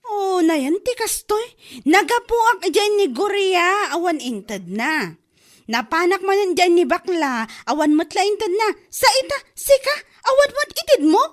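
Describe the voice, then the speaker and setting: High-voiced, scolding neighbourhood moneylender. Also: female voice, very animated, unhurried, full character voice. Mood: indignant, pressing. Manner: scolding, exasperated, complaining. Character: irritated, nagging woman lender collecting unpaid debts. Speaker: radio drama actress. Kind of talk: Ilocano comedy radio drama acting.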